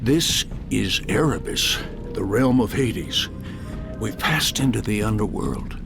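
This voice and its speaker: Wise, calm guide for a fantasy game: creaky mid-pitched voice, measured, music behind. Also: male voice, full character voice. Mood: solemn. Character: calm, wise guide through myth lands. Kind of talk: dramatic video game cutscene acting.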